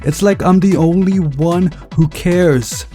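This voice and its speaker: A man's voice: high voice, slow pace, warm tone, faint music behind, voice pushed up. A man voicing an exasperated, bossy woman film director in a visual novel, scolding and weary.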